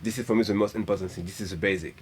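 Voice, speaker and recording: A man from Guadeloupe, a bass player earnestly explaining his view in a casual interview. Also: steady tone, very fast, slight background noise. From Guadeloupe, grew up in France. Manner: earnest, emphatic, repeats his point.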